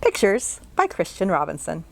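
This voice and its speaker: A woman's voice: fairly low pitch, wildly swooping pitch, normal speed, vocal fry, slight background noise. A children's librarian, a woman, reading a picture book aloud and asking young listeners questions along the way.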